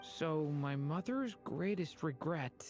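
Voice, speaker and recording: A woman's voice: low voice, lively intonation, slow pace. A woman voicing an earnest, shy young dragon boy in a video game, polite and hesitant. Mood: puzzled. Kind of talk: video game character acting. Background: music.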